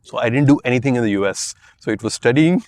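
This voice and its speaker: A man's voice: mid-range voice, quick pace, a little mellow, a little hiss or hum. A man in his fifties, originally from Pakistan, telling his life story as a podcast guest and loosely filling his talk with "you know" and "I mean". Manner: rambling, many "you know" and "I mean" fillers. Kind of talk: relaxed interview answers.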